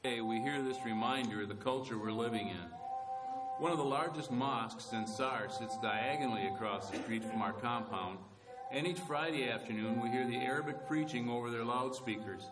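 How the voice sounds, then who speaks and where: A man, a missionary, reading a scripted narration for a ministry video in a measured, reverent way. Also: mid-range voice. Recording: echoey narration under loud guitar music.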